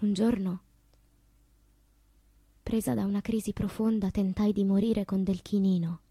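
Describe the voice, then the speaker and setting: A slow, husky, anguished woman poet. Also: mid-range voice, flat delivery, warm tone, no room sound. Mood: grave, dramatic. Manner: slow, declamatory, with long pauses. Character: anguished woman poet recalling loves, madness and death. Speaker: stage actress. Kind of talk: theatrical poetic monologue.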